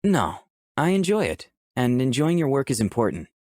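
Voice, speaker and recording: Man as gentle young artist, animated pitch. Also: normal speed, no room sound, studio quality. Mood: earnest. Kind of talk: visual novel dialogue and first-person narration.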